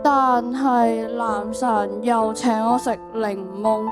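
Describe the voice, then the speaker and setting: A woman's voice: on the high side, fairly even intonation, takes it slowly, music in the background. A teenage girl with a Hong Kong Cantonese accent playing an insecure, lovesick, eager-to-please young woman in a student radio drama. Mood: plaintive.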